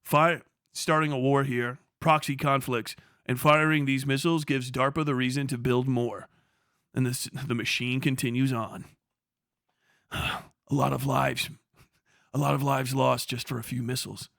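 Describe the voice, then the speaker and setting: A man's voice: medium pitch, fairly even intonation, unhurried, creaky, a bit bright, no room sound, clean studio sound. A man hosting a livestream, ranting casually and reading chat with profane sarcasm, often acting out mock exchanges. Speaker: livestream host.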